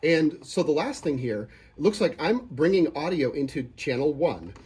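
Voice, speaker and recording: A man's voice: fairly high pitch, very animated, moderate pace, darkish tone, a little hiss or hum. A man presenting an informal hands-on tech tutorial, explaining conversationally and often rephrasing his points. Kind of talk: informal hands-on software and hardware demo.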